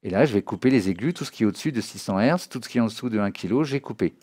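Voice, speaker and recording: Low-voiced man teaching music software. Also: expressive, very fast. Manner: didactic, often checking understanding. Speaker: music software trainer giving a tutorial. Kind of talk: informal spoken software tutorial. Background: synthesizer and music demo sounds.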